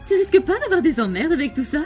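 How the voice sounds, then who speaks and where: A woman voicing a scrappy, chummy, excitable demon girl who loves a brawl, in an amateur French cartoon fandub. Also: high voice, fast. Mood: eager. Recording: loud music behind the voice.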